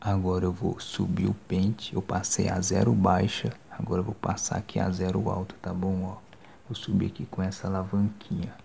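A young man in his twenties with a Brazilian accent, doing a soft-spoken, whispered ASMR barber roleplay in a casual, slangy way. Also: sits low, little pitch movement, normal speed, creaky, slight background noise. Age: 20s. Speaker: ASMR creator playing a barber. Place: small decorated indoor room. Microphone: handheld phone microphone up close.

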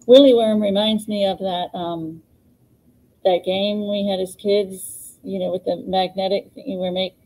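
A middle-aged woman with a mellow, soft-edged voice at a middle pitch, chatting easily and laughing between thoughts. She speaks into a webcam as co-host of a live gardening show. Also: steady tone, a little slow, low-quality recording. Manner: chatty, laughs often, many ums. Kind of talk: live online plant advice show. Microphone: webcam on a video call.

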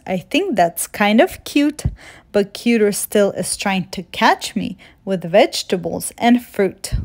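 A magical snowman from a picture book, voiced by a woman, teases in singsong rhyme. The delivery is unhurried, expressive and full of playful confidence, at a middle pitch. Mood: delighted, teasing.

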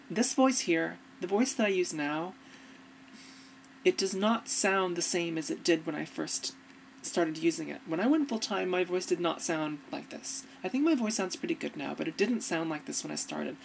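Young woman vlogging on webcam, lowish gravelly voice, chill and earnest. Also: moderate pace, slight background noise, poor audio. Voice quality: fairly deep, gravelly. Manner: earnest, relaxed, demonstrating as she talks. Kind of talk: casual advice vlog. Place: dim room indoors.

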